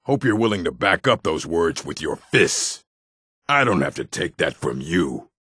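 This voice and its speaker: An actor playing a gruff, combative male orc townsman in a video game, with a rough, husky voice and a blunt, curt way of speaking. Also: sits low, very animated, a little slow, a bit bright, no echo, studio quality, exaggerated voice. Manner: blunt, curt, threatening delivery. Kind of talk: video game character barks.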